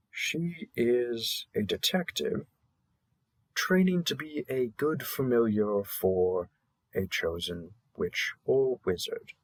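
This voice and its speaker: A man playing a cheerful dating-app host in a scripted roleplay, presenting matches with theatrical emphasis and a husky voice. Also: on the low side, no echo, heavily acted, rough recording. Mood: light, reassuring. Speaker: matchmaking announcer. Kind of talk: scripted roleplay audio narration.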